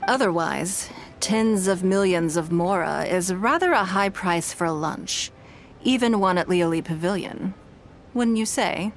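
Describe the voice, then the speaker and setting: A woman voicing a poised, shrewd businesswoman in a game, speaking in a composed, measured, elegant way. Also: lowish voice, faint music behind. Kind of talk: game dialogue.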